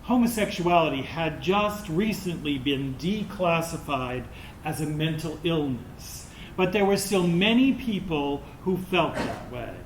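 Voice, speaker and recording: A man acting as a transgender adult recalls childhood in an understated stage monologue. The voice is slightly high and very unhurried, in an echoey room with faint noise. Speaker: actor in a staged reading. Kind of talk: sober monologue read from a script to an audience.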